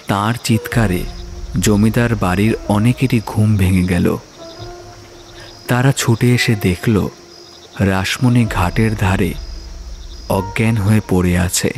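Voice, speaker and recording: A man's voice: lowish voice, slow pace, long pauses, a little mellow. A man narrating a scripted horror story in a dramatic storytelling style.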